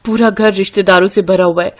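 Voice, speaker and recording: A woman's voice: medium pitch, steady tone, a little fast, a little mellow, close to an everyday voice. A woman voicing a tired, overworked young wife in a cartoon story, complaining. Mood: exasperated. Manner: complaining, exasperated.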